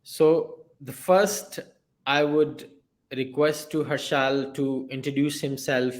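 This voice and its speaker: Man, podcast host, slow pace, halting, formal questions. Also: mid-range voice, steady tone. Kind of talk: hosting an interview.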